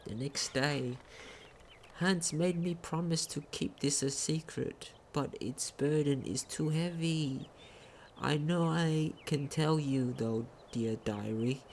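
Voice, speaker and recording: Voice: mid-range voice, takes it slowly, a little mellow. A gaming commentator talking casually and reading in-game text aloud, sometimes stumbling over words. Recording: home recording with faint noise.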